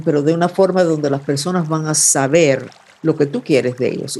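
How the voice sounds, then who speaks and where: A man hosting a weekly horoscope reading, giving calm, intimate advice with vivid imagery, in Spanish that is his second language. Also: fairly high pitch. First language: not Spanish. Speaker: astrologer host.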